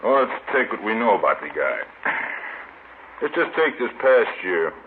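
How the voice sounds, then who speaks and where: An American man playing a laconic police captain in a 1950s radio crime drama, measured and matter-of-fact. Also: fairly low pitch, very animated. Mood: businesslike. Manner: measured, plain-spoken. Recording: muffled, noisy old radio recording.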